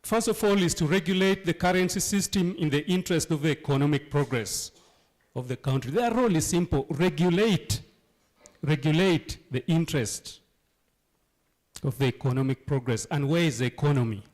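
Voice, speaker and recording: A hoarse man from Uganda, sick with a cold, speaking emphatically at a town hall meeting and asking rhetorical questions. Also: a little high, moderate pace, darkish tone. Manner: emphatic, rhetorical questions, repeats key phrases. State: hoarse from flu and cough. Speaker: local leader addressing a town hall.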